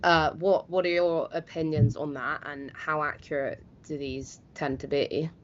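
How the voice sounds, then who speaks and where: A woman's voice: low voice, fairly even intonation, unhurried, lo-fi sound. A woman hosting live news, putting questions to a guest and linking between stories. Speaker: news host.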